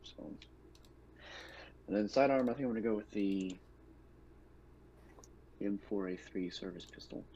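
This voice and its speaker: A man's voice: on the low side, unhurried, pauses often, dark tone. A man chatting casually as a player in a tabletop game. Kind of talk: casual chat. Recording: dull lo-fi mic, faint noise, keyboard typing.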